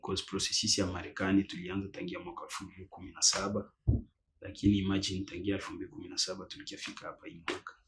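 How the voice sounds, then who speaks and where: Man from congo, very deep voice, talks fast, grateful tone. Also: completely flat delivery, noisy background. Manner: grateful, heartfelt. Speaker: interviewee. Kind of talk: documentary interview.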